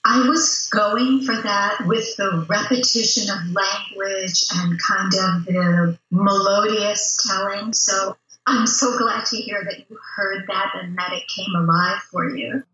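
Female interview guest, playwright: bright tone, conversational, very roomy echoing sound. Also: mid-range voice, steady tone, slow pace, hardly any pauses, a little hiss or hum.

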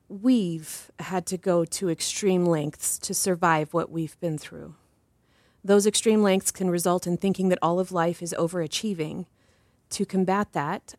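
A woman playing an earnest, reflective doctor and survivor, speaking in a measured, slightly hesitant way in an audio drama. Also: on the low side, a little mellow, no echo. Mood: reflective, resolved. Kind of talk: audio drama interview acting.

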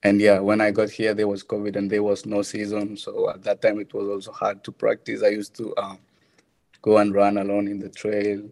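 A young man from Kenya, a college runner answering interview questions in a soft-spoken, hesitant way. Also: lowish voice, monotone, normal speed, creaky, dry recording. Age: young adult.

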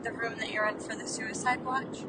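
A woman's voice: level tone, normal speed. A woman podcast host casually interviewing from a car on a road trip, curious and full of short questions. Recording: distant mic, loud car rumble.

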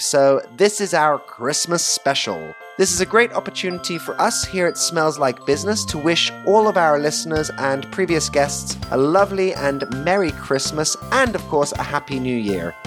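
A man's voice: fairly high pitch, fairly bright tone. A man hosting a podcast with a mid-Atlantic accent and a slight American twang, reading an upbeat intro and asking questions. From Luxembourg. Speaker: podcast host. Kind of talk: scripted intro and interview.

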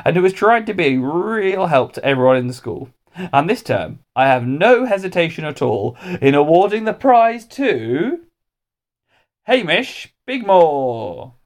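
A man's voice: fairly high pitch, very animated, a little slow, pitched above the performer's own voice. Man playing a declamatory, ceremonious headmaster. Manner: formal, declamatory speechmaking. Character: pompous, kindly male headmaster addressing school assembly.

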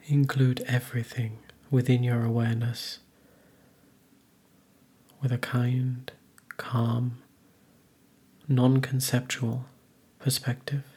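A man guides a meditation in a mid-pitched, strongly breathy voice. He speaks very slowly and gently, leaving long pauses between measured phrases. His intonation stays flat and even throughout. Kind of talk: guided meditation, soothing instruction.

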